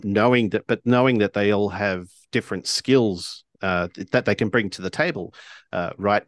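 A man hosting a podcast, asking long questions with many pauses and fillers. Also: on the low side, creaky, no echo, studio quality. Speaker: podcast host. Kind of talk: casual podcast conversation.

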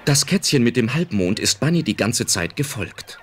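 A woman's voice: a bass voice, very animated, moderate pace, a little hiss or hum. A woman reading scripted narration as the storyteller of a radio play.

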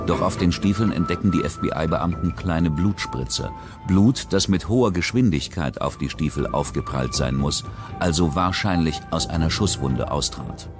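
Deep-voiced man narrating a documentary. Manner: measured, even narration. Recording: music bed under the voice. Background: documentary music.

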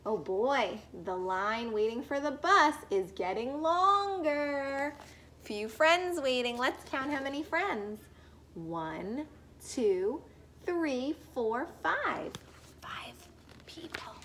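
Young woman, teacher read-aloud, high voice, slow pace, expressive questioning, faint background noise. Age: young adult. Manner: animated, expressive, addressing small children.

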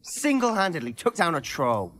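Lively, chummy young male student wizard. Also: fairly high pitch, normal speed. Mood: cheerful. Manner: chummy, earnest. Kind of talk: scripted game cutscene acting.

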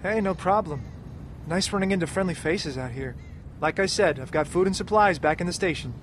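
An actor playing a friendly, talkative and wry wanderer in a video game. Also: male voice, high voice, fairly quick, slight background noise, natural voice. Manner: easygoing, wry.

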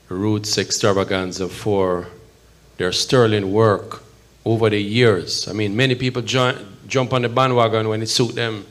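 A middle-aged man with a Jamaican accent giving a measured, emphatic public speech at a podium microphone in an event hall. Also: lowish voice. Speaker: consul general, guest speaker at podium. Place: event hall, at a podium before a seated audience.

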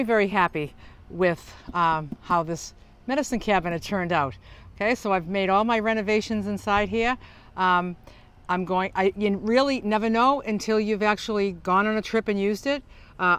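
An older woman with a clear, smooth voice at a middling pitch talks through a casual how-to tour. She is chatty and practical, explaining each step while her hands are busy. The sound is that of a clip-on mic at the open door of a van. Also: a bit bright. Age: older, senior. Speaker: vlogger. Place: outdoors at the open side door of a camper van. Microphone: small clip-on lavalier mic.

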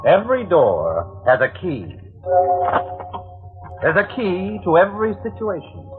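A theatrical male mystery announcer speaks emphatically in a fairly high, swooping voice. Loud music plays behind him on an old radio recording. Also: wildly swooping pitch, measured pace, phone-quality sound, full character voice. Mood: mysterious, theatrical. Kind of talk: old-time radio series introduction.

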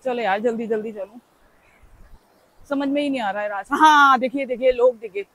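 A woman's voice: on the high side, fairly quick, fairly bright tone, rough recording. A young woman vlogging casually as she hikes outdoors on a forest trail, talking into a handheld phone camera in a chatty, playful way and exclaiming as she goes. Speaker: travel vlogger. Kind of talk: casual vlog walking commentary.

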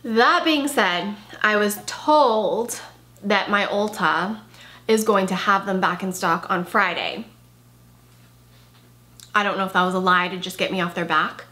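A woman's voice: medium pitch, measured pace, long pauses, sharp tone. A woman in her thirties chatting through a casual makeup product review in her bedroom, her voice a bit worn because she is losing it. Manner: chatty, enthusiastic, with frequent "like" and "really". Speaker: beauty vlogger. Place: bedroom with clothing rack, indoors.

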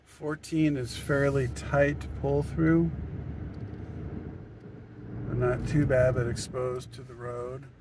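A man's voice: medium pitch, flat delivery, slow pace, long pauses. A man in his fifties, a travel vlogger, giving a plain, matter-of-fact narration. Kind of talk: casual campground tour narration. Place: moving vehicle. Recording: faint car noise behind.

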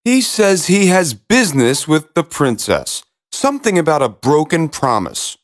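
Man as a storybook servant, formal announcer, dutiful, unrushed, highly expressive. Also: a little slow. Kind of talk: storybook character voice.

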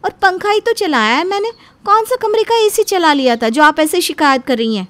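A woman voicing a cheeky, outspoken younger daughter-in-law in an animated family story, with a sassy, complaining manner. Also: very high-pitched, fairly quick, no echo, pitched above the performer's own voice.

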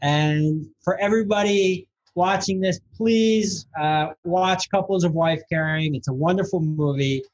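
A man's voice: high voice, a little slow, hardly any pauses, muffled recording. A man chatting as a podcast guest over a video call, enthusiastic and promotional. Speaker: film director, guest. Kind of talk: casual chat.

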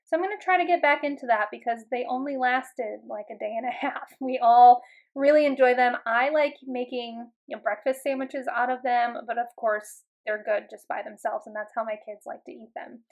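A woman in her thirties with a somewhat high voice, talking through her home cooking in a relaxed, chatty way. Her speech is loose and unhurried, often broken by ums and uhs. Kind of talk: casual voice-over narration. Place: home kitchen voice-over.

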